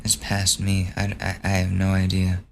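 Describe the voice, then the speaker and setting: A man hosting a solo podcast, losing his voice and hoarse, casually reading out gossip items and swearing as he thinks aloud. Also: deep voice, monotone, moderate pace, a little hiss or hum. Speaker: podcast host.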